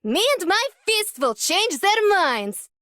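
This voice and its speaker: A woman's voice: extremely high pitch, very animated, slow, pushed and bright, a little hiss or hum, heavily acted. A woman voicing a plucky, confident young fighter girl in a game. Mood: confident, reassuring. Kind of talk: scripted game character voice line.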